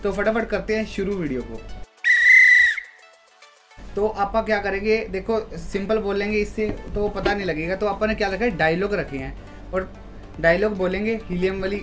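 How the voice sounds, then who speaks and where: A man's voice: high-pitched, normal speed, pauses often, faint music behind. A young man from India hosting a casual challenge vlog, chatting to viewers in a small room at home. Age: young adult. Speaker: vlog host.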